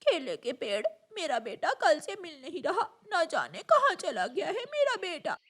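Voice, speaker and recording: An anxious mother crow from an animated moral tale, voiced by a woman. She pleads plaintively in a very high, melodically varied voice. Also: unhurried, heavily acted. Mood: anxious pleading. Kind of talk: Hindi animated moral story acting.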